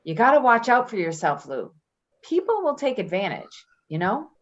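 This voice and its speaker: A woman's voice: fairly high pitch, sing-song, normal speed, a little hiss or hum. A woman playing a breezy, evasive, self-serving friend in an offhand, casual play reading. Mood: falsely consoling. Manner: offhand, giggly, hedging. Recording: dull lo-fi recording.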